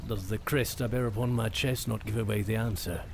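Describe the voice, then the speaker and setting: A man's voice: lowish voice, fairly even intonation, a little fast, vocal fry, a little mellow, slight background noise. A young man playing an earnest, courteous royal knight in a fantasy audio drama, speaking formally. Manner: formal, polite, stately.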